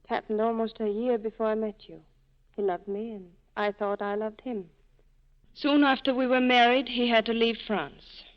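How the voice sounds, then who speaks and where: A slightly high female voice from an actress plays a proud, sorrowful wife torn by love. Her delivery is earnest 1940s radio drama, with the muffled tone of an old broadcast. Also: fairly even intonation, normal speed, lightly acted. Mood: wounded, regretful. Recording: dull lo-fi vintage radio broadcast.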